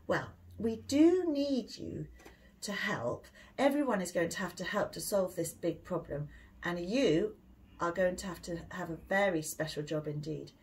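A woman's voice: fairly low pitch, expressive, a little slow, darkish tone. A British woman voicing a reassuring, encouraging mother in a children's storybook. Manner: soothing and encouraging. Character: gentle, reassuring mother of a young boy.

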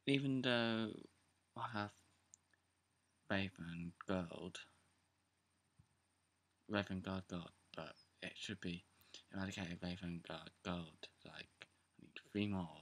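A young man with a sore, croaky throat, talking quietly in a half-whisper at home while he goes through his card game deck for a casual video. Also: low voice. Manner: hushed, half-whispering late at night. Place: indoors, seated on a bed or floor at home. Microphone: camera close in front, pointed at his hands.

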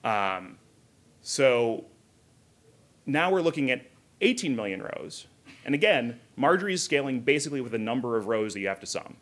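A man's voice: mid-range voice, fairly bright tone. A man in his thirties speaks at a technical conference in a mid-range voice. He explains things informally and conversationally, dropping in casual asides as he goes. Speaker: conference speaker, software engineer. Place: hotel conference room, indoors.

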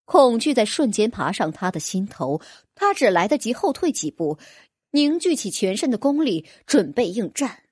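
A woman narrates a fantasy audiobook with lively, swinging intonation. Her voice sits slightly high and has a breathy huskiness. The delivery is dramatic and scripted, recorded close and dry. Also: moderate pace, no echo.

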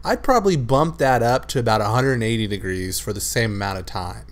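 A man hosting a cooking channel, narrating his recipe steps in a relaxed, matter-of-fact voice-over. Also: mid-range voice, fairly quick. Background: loud music.

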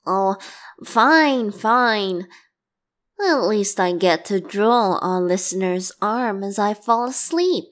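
A woman's voice: mid-range voice, expressive, takes it slowly. A woman voicing a playful, clingy boyish god and bard in a boyfriend ASMR roleplay, teasing and theatrical.

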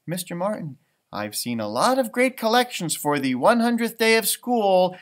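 A man voicing a kind, encouraging male schoolteacher in a storybook, with dramatic drawn-out emphasis. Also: high-pitched, big pitch swings, pitched above the performer's own voice. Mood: impressed.

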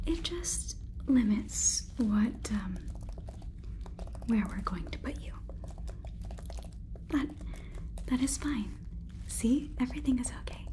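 A woman's voice: a little high, warm tone, slight background noise. A woman in her twenties voicing a polite, faintly condescending female AI robot overlord in a whispered ASMR roleplay, with stilted, soothing phrasing. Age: 20s. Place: small room indoors.

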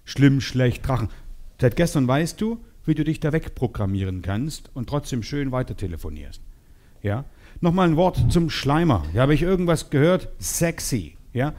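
Male sales trainer, forties, soft-edged timbre, mid pitch, animated and teasing delivery for a live seminar. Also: normal speed. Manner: animated, teasing, role-plays short dialogues. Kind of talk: lively seminar talk to participants. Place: seminar room with participants. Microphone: headset.

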